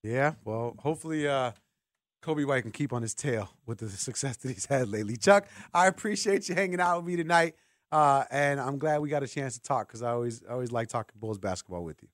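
A man's voice: medium pitch, animated, a little fast, no room sound, studio quality. A man hosting a sports radio show in a conversational style.

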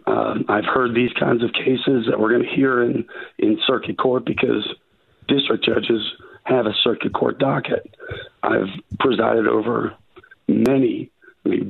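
A man with a raspy voice from a recent illness, calling in as a judicial candidate and talking in a halting way with many restarts. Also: medium pitch. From Arkansas. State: raspy voice after covid. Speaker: judicial candidate, phone guest. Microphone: phone. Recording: tinny phone line.

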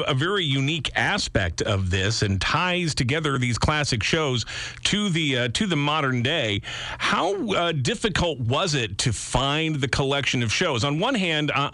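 A man's voice: mid-range voice, bright, forceful tone, dry recording. An American man hosting a conversational radio interview, wordy and feeling his way through long questions.

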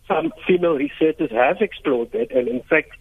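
A South African man whose first language is Afrikaans speaks as a radio interview guest. His voice sits a little high, and he feels his way through sentences, repeating words for emphasis. He comes through a muffled, narrow phone line. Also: big pitch swings, normal speed, creaky, breathy edge. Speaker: interview guest, heritage site chief executive.

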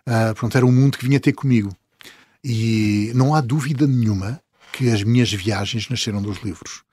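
A man in his fifties from the Azores with a European Portuguese accent, telling travel stories as an interview guest, chuckling and letting his sentences trail off softly. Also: mid-range voice, fairly quick, breathy edge, no echo, studio quality. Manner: storytelling, chuckling, trailing off quietly. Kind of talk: relaxed interview conversation.

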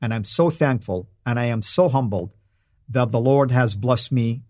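A man's voice: mid-range voice, moderate pace, a little mellow, no room sound. Earnest man, dry sound. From Saudi Arabia. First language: Arabic. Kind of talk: direct-to-camera monologue.

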